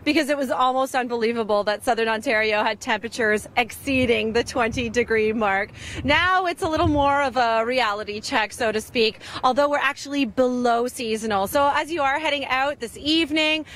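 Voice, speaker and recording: Bubbly high-voiced woman on location. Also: brisk, fairly bright tone. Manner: upbeat, chatty, laughs often. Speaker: field weather reporter. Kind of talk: live outdoor weather hits. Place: outdoors at a garden show.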